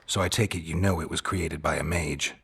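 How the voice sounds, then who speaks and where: A man playing a stoic mutant monster hunter in a video game, terse and deadpan with a dry wit. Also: fairly quick, exaggerated voice. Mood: deadpan, probing. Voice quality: husky, gravelly low voice.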